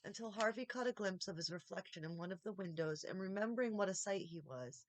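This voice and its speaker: A woman reading a children's story aloud as an expressive audiobook narrator. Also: medium pitch, fairly quick, no room sound. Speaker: audiobook reader, channel host.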